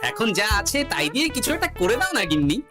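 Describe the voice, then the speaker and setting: A woman's voice: mid-range voice, big pitch swings, fast talker, natural voice. A woman voicing a witty, self-important male court jester in a comic cartoon, with theatrical, wry delivery. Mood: boastful, evasive. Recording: music behind the voice.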